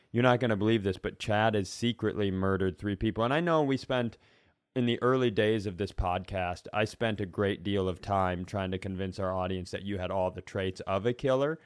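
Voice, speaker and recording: Low-voiced man bantering on a comedy podcast. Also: clear, resonant tone, no room sound, studio quality. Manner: rambling, conversational storytelling with profanity. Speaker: podcast co-host. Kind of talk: casual comic banter.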